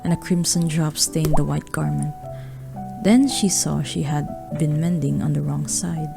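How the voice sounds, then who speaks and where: A woman narrating a radio drama, reading scripted literary prose in a measured way. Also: sits low, creaky, over music.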